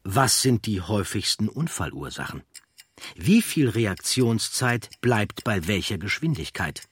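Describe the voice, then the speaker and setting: A man reading scripted documentary narration in a measured, factual way. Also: lowish voice, sing-song, fairly bright tone, husky, no room sound.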